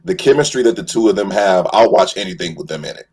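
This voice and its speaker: A man's voice: sits low, expressive, fast talker, darkish tone, slightly rough. A man talking casually and enthusiastically as a fan on a panel, effusive and slangy. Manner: effusive, slangy, addresses listeners as bro and man.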